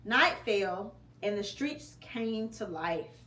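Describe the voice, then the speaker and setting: A mother reading a picture book aloud to her child at home, slow and expressive with pauses between phrases. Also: medium pitch. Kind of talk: storybook read-aloud. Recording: echoey room with faint hum.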